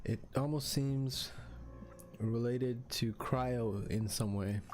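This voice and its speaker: A man's voice: medium pitch, fairly even intonation, slow pace, darkish tone, a little hiss or hum. A man doing casual let's play commentary as a game streamer, thinking aloud in a hesitant way.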